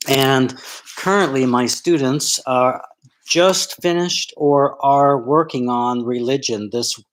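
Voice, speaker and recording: A man's voice: mid-range voice, slow, rough recording. A middle-aged man from Florida, a sociology professor, telling a live online audience his story in a candid, rambling way full of ums and restarts. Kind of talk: live-streamed personal talk.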